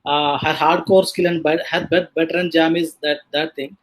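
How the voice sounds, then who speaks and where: The slightly high-voiced man opening a webinar, feeling his way through guest introductions with frequent ums and pauses. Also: flat delivery. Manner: hesitant, halting delivery with many filler sounds. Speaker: host of a live online panel session. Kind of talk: casual live introduction of guests. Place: online live video session.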